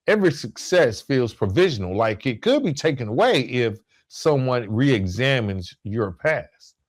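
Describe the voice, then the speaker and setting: A man hosting a solo podcast, explaining his points in an emphatic, rhetorical way and repeating key phrases for weight. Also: medium pitch. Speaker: podcast host.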